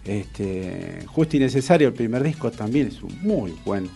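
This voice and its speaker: A man's voice: fairly low pitch, animated, normal speed, creaky, mellow, soft background music. A man with an Argentine accent hosting a casual radio interview, rambling conversationally as he searches for his words. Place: radio studio.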